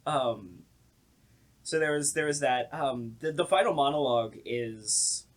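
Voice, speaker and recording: A woman's voice: extremely low pitch, animated, unhurried. A woman hosting a casual podcast chat, speaking hesitantly with wry, joking asides. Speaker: podcast host.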